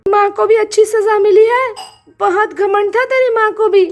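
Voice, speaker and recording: A spiteful middle-aged aunt mocks and scolds from the start of each line, played by a woman. The voice is very high and sharp-tongued, full of scorn. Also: fairly even intonation, moderate pace. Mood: taunting.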